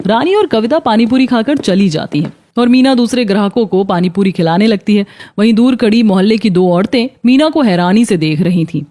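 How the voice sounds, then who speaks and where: A woman's voice: mid-range voice, animated, brisk, warm tone. A woman narrating a scripted moral story as a cartoon storyteller. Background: faint background music.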